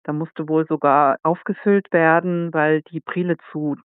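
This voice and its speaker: A man's voice: on the high side, flat delivery, unhurried, clear, resonant tone, dry recording. A man from Saxony, an author, explaining his book at length in an interview. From Saxony, Germany. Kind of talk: interview guest. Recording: tinny phone-like line.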